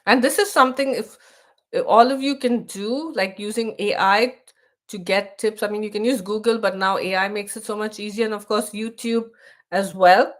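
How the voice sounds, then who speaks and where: A woman's voice: medium pitch, moderate pace. A middle-aged woman from India with an Indian accent, hosting a casual live stream for expats, chatty, often restarting her sentences and reading text aloud.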